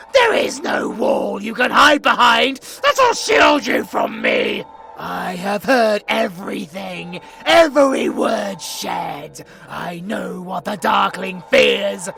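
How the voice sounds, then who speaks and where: A British man playing a sadistic, gloating monster villain in an audio roleplay, gravelly and theatrical, swinging from hushed taunts to shouts. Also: high voice, full character voice. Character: sadistic male monster villain, gloating, menacing, hungry for prey.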